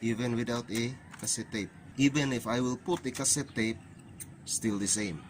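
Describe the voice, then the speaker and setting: A man's voice: medium pitch, normal speed. Male repair channel host, mid-range voice, plain step-by-step instruction, non-native phrasing, noticeable background noise. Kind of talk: hands-on repair tutorial narration. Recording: clearly noisy recording with ambient hiss.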